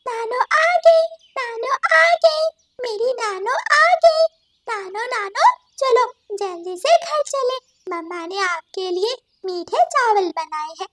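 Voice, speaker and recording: Excitable, very high-pitched little girl bird. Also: slow, heavy background noise, heavily acted. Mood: excited. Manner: childlike, eager.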